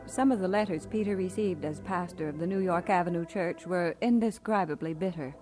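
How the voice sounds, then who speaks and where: An actress playing a gentle, devoted pastor's wife with composed delivery in an old-time radio drama. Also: female voice, fairly low pitch, a little fast, faint music behind, lightly acted. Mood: reflective.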